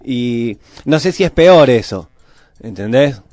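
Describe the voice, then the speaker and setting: A man's voice: medium pitch, animated, slow, a little mellow. A man with a Buenos Aires Argentine accent chatting as a radio co-host, colloquial and fond of tag questions. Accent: Buenos Aires Argentine Spanish. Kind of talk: casual chat. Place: radio studio. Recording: music playing behind the voice. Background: music.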